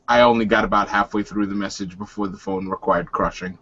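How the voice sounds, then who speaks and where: A man's voice: on the low side, fairly even intonation, a little fast, fairly bright tone, lo-fi sound. A man playing a proud, hot-tempered male werewolf in a tabletop game, speaking in formal, courtly phrases. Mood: apologetic, formal.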